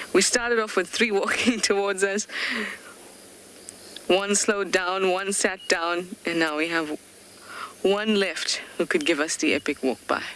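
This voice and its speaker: A woman's voice: lowish voice, fairly bright tone, a little hiss or hum. A woman working as a safari guide, thinking aloud in chatty, curious live commentary from a vehicle in the bush. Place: game drive vehicle outdoors in the bush.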